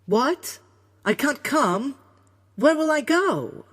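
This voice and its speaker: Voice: medium pitch, very animated, normal speed, voice pushed up. A man voicing a playful male imaginary clown friend with a hearty, exaggerated delivery in a story. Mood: shocked.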